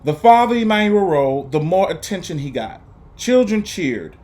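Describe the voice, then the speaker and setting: A man reading a children's story aloud to students, with deliberate, emphatic phrasing and an earnest, encouraging manner. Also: sits high, sing-song, darkish tone. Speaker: guest reader for students.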